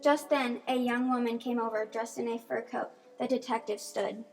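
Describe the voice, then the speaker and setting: A woman's voice: on the high side, flat delivery, normal speed, soft background music. A woman reading scripted narration for an amateur radio mystery.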